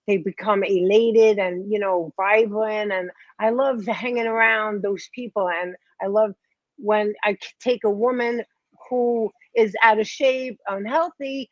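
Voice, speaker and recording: A middle-aged woman from Staten Island with a New York accent, giving unhurried interview answers over a video call that drift into fillers and restarts. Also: mid-range voice, steady tone, no echo. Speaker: guest. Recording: dry, dull, lo-fi sound.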